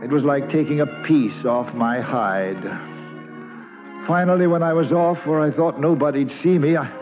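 A man's voice: a little high, normal speed, close to an everyday voice. An actor playing a plain-spoken American man who fondly and wistfully narrates his boyhood in an old-time radio drama. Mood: wistful, amused. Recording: muffled old radio recording with music.

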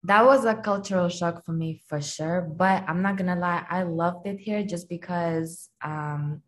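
A young woman from Kazakhstan, a guest on a casual podcast, talking about her life and work in a relaxed way with many fillers. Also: fairly low pitch, unhurried.

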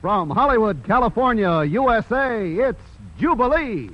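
A man's voice: sits high, animated, measured pace. An American man emceeing a 1940s radio variety show with showy, mock-formal jive patter. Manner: showy mock-formal convention chairman delivery. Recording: dull vintage radio recording with music. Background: loud music.

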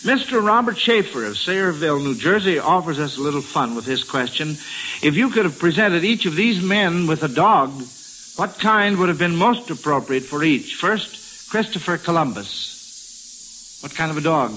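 Male 1940s radio quizmaster, bright and forward, approving, repeats what he hears, faint hiss behind. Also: a little high, moderate pace. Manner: repeats and echoes answers approvingly. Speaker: quiz show host. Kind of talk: 1940s radio quiz hosting. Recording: old radio recording with steady hiss.

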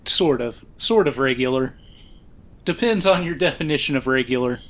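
A man hosting a casual podcast, relaxed and dry, teasing his co-host now and then. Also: mid-range voice, moderate pace.

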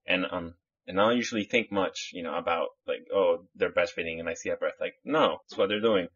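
A man's voice: deep voice, fairly quick, fairly bright tone, husky. A man from Chile, a podcast guest, chatting casually and rambling with many restarts. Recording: muffled lo-fi audio.

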